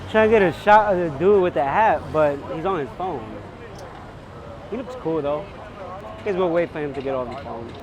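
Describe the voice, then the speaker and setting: A man's voice: on the high side, expressive, moderate pace. A young man chatting casually and playfully in slang while out as a street photographer. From New York. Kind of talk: casual chat while out shooting. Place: outdoors at a busy urban beachside boardwalk. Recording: busy outdoor crowd and street noise.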